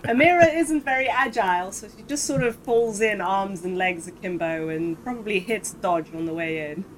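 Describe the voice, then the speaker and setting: A woman's voice: medium pitch, normal speed, rough recording. A woman player casually roleplaying and describing her character's actions in a tabletop game. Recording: faint background noise.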